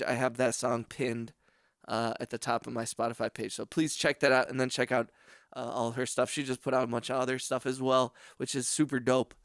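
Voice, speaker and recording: A relaxed man talks to his chat during a late-night production stream, giving friendly shout-outs and wandering through half-finished thoughts. His voice sits at an ordinary middle pitch and sounds dry and close, with no room around it. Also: dry recording. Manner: rambling, thinks aloud, often questions himself. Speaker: livestream music producer. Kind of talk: casual livestream chat. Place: home studio.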